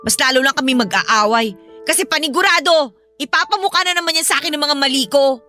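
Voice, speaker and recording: A woman's voice: high-pitched, quick pace, pushed and bright, soft background music. A woman with a Filipino accent acting a hurt, defiant younger sister in an audio drama, sulky and defensive. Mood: sullen, evasive.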